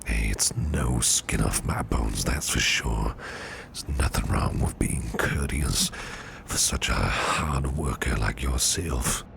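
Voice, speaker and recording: A man playing a caring, courteous businessman boss in an ASMR roleplay, speaking in a soft, soothing, half-whispered murmur. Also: a bass voice, takes it slowly, vocal fry, noisy background, heavily acted. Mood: tender, soothing. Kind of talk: ASMR personal attention roleplay.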